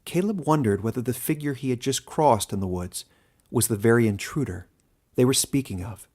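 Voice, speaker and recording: Measured male fantasy story narrator. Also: mid-range voice, lively intonation, darkish tone. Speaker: author and narrator.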